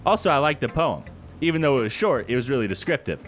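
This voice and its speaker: Animated man doing let's-play commentary. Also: medium pitch, fast talker. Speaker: gaming video host. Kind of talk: casual let's-play commentary and dialogue reading. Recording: game music under the voice. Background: game music.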